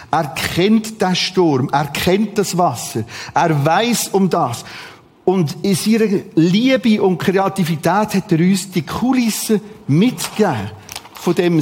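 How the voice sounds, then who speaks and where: A man with a Swiss German accent preaching a sermon in short, repeated, emphatic phrases, with a husky voice. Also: high-pitched, darkish tone.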